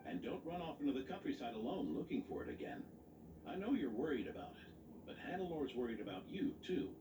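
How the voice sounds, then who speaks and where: A man's voice: mid-range voice, big pitch swings, fast, lightly acted. A man voicing a kindly, protective old grandfatherly knight in a video game drama. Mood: gently scolding. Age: older. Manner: reassuring, gently admonishing. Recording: roomy, distant, noisy game capture.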